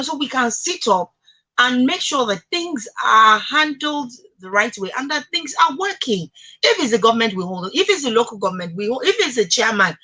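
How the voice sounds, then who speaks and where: A woman's voice: on the high side, bright, forceful tone, poor audio. A woman with a Nigerian accent hosting a radio discussion, speaking emphatically and putting rhetorical questions to listeners. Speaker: radio talk show host.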